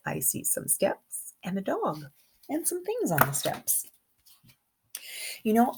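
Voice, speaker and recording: A woman's voice: medium pitch, creaky, slightly rough. A kindergarten teacher, a woman, reading a picture book aloud expressively to young children and adding chatty comments as she goes. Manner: expressive, engaging young listeners with questions and comments.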